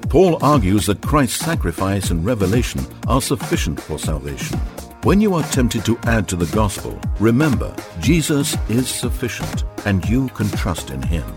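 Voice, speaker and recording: Low-voiced male narrator over music. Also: very animated. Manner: measured, devotional reading. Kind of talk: scripted narration.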